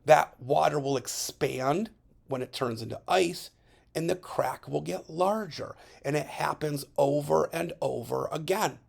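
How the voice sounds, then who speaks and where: A male science teacher narrating a slide lesson in a chatty way, checking in with students and saying his voice is still recovering from hoarseness. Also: fairly high pitch, measured pace. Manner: chatty, checks in with frequent "okay?". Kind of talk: recorded classroom lesson over slides.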